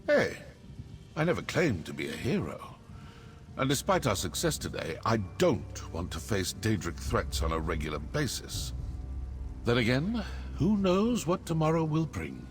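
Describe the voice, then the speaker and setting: A man's voice: medium pitch, huge pitch swings, unhurried, faint music behind. A man playing a wry, self-satisfied mercenary assassin in a fantasy game. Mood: wry, boastful. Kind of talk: scripted fantasy game dialogue.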